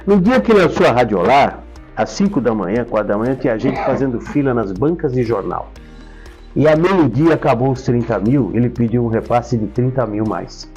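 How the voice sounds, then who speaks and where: A man's voice: medium pitch, lively intonation, moderate pace, darkish tone, faint music behind. A man with a Brazilian Portuguese accent telling stories conversationally as an interview guest, emphatic and often checking in with his listener. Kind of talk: conversational storytelling and reminiscence. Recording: dull, lo-fi sound.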